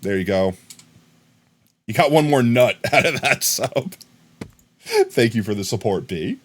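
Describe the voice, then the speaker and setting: Lively, chatty American man streaming. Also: medium pitch, measured pace. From United States. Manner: rambling and chatty, shouting excited thanks to viewers. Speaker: livestreamer. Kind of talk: casual live-stream chat.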